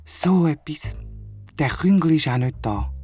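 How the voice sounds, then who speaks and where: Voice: medium pitch, a little slow, husky, soft background music. A storyteller voicing an eager, naive, forgetful young male platypus with a Swiss German accent in a children's audio story. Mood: puzzled, dejected. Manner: excitable, naive, questioning.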